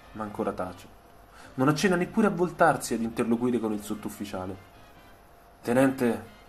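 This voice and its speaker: A man narrating a historical story for radio in a literary, scripted storytelling style. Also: mid-range voice. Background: soft musical soundtrack.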